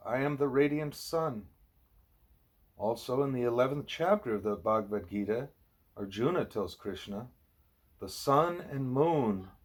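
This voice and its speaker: Husky man reading a spiritual audiobook. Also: mid-range voice. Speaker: audiobook reader. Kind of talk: scripted narration of a spiritual dialogue.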